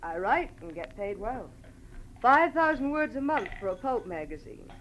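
A woman acting a brassy, sharp-tongued pulp writer in a 1940s radio drama, American accent, sassy, cool and teasing. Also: fairly high pitch, very animated, normal speed. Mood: sly, bargaining. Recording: noisy old radio recording.

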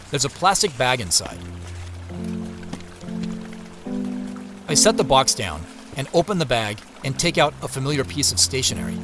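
Man as a lively-toned reflective drama narrator. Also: medium pitch, close to an everyday voice. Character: reflective first-person narrator describing his woodland search. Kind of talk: scripted audio drama narration.

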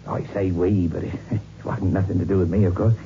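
Grave folksy sergeant, very dark soft-edged voice, ominous storyteller, muffled 1960s radio drama. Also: male voice, deep voice, fairly even intonation, a little fast. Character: grave local police sergeant, ominous teller of ghost tales. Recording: muffled, hissy old radio recording.

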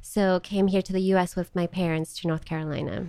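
A woman's voice: fairly low pitch, fairly even intonation, a little fast, creaky, warm tone, no room sound. A middle-aged woman from South Africa, talking casually as an interview guest with frequent fillers and laughs. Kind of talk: conversational interview.

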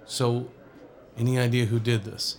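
A man's voice: medium pitch, little pitch movement, unhurried, noisy background, natural voice. A man playing a counterterrorism agent who narrates an audio drama in a dry, understated way. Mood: measured narration. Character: male counterterrorism agent Blake, wry first-person narrator. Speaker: narrator and lead actor.

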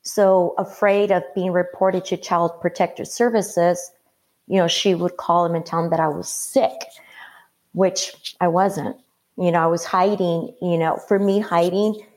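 A woman from Mexico telling her life story as an interview guest, earnest and halting, with many fillers. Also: fairly low pitch, fairly even intonation, a little mellow, rough recording.

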